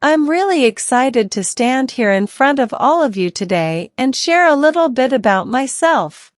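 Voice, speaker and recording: A woman reading a scripted self-introduction slowly and clearly for learners to repeat. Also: sits high, lively intonation, no echo, studio quality. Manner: clear, deliberate, evenly paced delivery. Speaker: English teacher reading a model speech. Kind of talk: slow scripted reading for repetition practice.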